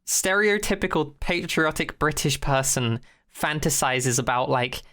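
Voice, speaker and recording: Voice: medium pitch, animated, a little slow, a bit bright. A podcast host chatting casually in a rambling, informal way, swearing freely and sounding amused.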